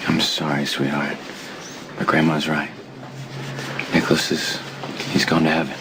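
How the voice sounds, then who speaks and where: A man's voice: very deep voice, flat delivery, measured pace. A man playing a somber, plain-spoken grown man in a screen drama. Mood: grieving, gentle. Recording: very noisy ambient soundtrack.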